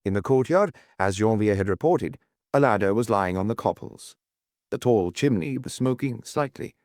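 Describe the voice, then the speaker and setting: A man's voice: lowish voice, animated, moderate pace, darkish tone, no room sound, studio quality. A man reading scripted detective fiction as an audiobook narrator.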